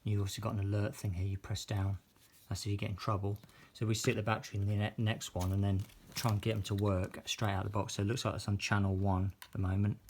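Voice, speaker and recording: British male reviewer, lower register, informal unscripted demo, slight background noise. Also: level tone, fast, few pauses, darkish tone. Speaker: product reviewer filming an unboxing. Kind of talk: casual unscripted product demonstration.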